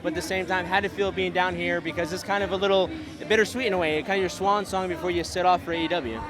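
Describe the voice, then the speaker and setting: A man's voice: high-pitched, steady tone, quick pace, crowd noise. A man interviewing a wrestler on site, eager, asking long stacked questions. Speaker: podcast interviewer. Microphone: handheld camera mic.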